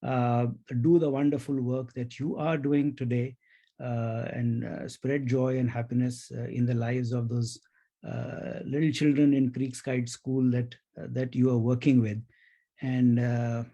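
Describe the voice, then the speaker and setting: A man with an Indian accent hosts an interview podcast. His voice is relaxed and mellow at a middling pitch. He phrases his thoughts in a reflective, effusive manner, as in an easy conversation. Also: unhurried, dull audio. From India (grew up in Mumbai).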